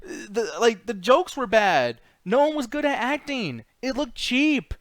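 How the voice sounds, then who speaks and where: A man's voice: very high voice, sing-song, measured pace, dry recording, clean studio sound. A man hosting a casual opinionated chat, talking in a foul-mouthed, ranting way. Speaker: host of a movie discussion show.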